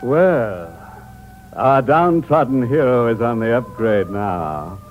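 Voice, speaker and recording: A man's voice: medium pitch, lively intonation. An actor playing the sly, sardonic host of a horror radio show, chuckling and drawing out his words. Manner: sardonic, chuckling, drawn-out delivery. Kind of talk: 1950s radio drama host. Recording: dull old radio recording with music.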